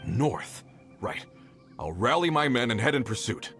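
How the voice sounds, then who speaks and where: No-nonsense mine boss, lively voice, soft music behind. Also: male voice, medium pitch, a little slow. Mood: businesslike. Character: worried, practical mine boss. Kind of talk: video game voice acting.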